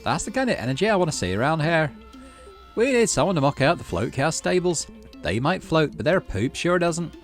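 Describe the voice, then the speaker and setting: A folksy, upbeat farmer dad from a visual novel, acted out by a man, pitched well up with lively swings. He explains things to his kid in a chummy, cheerful way over music. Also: fairly quick. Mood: proud. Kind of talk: visual novel character read aloud.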